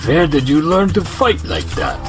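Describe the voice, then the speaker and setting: A man's voice: a little high, wildly swooping pitch, moderate pace, music in the background. A man playing an inquisitive, loyal longtime companion of a fighter in an audio drama. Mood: curious, probing.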